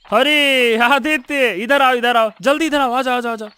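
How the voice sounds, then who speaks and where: A man playing an anxious, gossipy village shopkeeper in a cartoon story, talking in an excitable, repetitive way. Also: extremely high pitch, normal speed, clean tone. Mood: gossipy, excited. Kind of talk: scripted cartoon story acting. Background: music.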